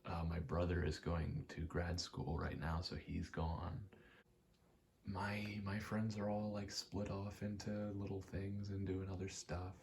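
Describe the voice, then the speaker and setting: Young man, low creaky voice, unhurried, casual ASMR ramble, hesitant and candid, faint background noise. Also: little pitch movement, a little mellow. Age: young adult. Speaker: video maker. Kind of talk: quiet casual ASMR ramble over gameplay.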